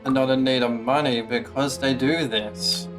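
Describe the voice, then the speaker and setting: A woman's voice: rumbling low, steady tone, moderate pace. A woman game streamer giving casual live commentary in a blunt, skeptical, sweary way. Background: game music.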